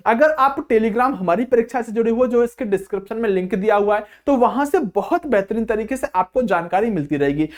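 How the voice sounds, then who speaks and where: A man speaking as an exam coach, addressing students informally and repeating phrases for emphasis. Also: extremely high pitch. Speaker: exam coaching teacher and channel host.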